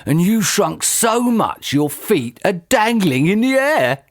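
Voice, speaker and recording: A reader voicing a cruel, gloating old man in a storybook, drawing out his taunts slowly. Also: medium pitch, very animated, dry recording, clean studio sound, pitched above the performer's own voice. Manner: slow, taunting, relishing each word. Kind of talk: storybook character voice.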